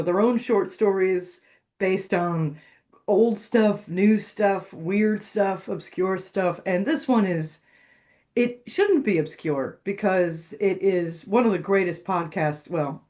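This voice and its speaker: Podcast co-host, medium pitch, casual and easygoing, lightly teasing conversational delivery. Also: slow pace, a little mellow.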